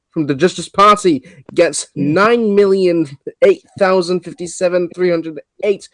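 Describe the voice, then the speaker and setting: A formal election official, acted by a man in an amateur play reading. The voice is very high for a man and close and dry, with halting delivery that trips on figures and names. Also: dry recording, poor audio. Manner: halting, stumbles over numbers and names. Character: formal election commissioner announcing results. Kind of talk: amateur table read of a play.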